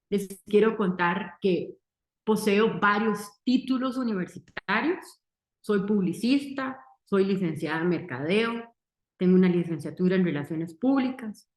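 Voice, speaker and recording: A Costa Rican woman in her forties with a Costa Rican accent, giving an earnest success-story testimonial in short, deliberate, emphatic phrases. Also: medium pitch. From Costa Rica. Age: 40s. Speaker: marketing entrepreneur giving a testimonial. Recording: roomy echo.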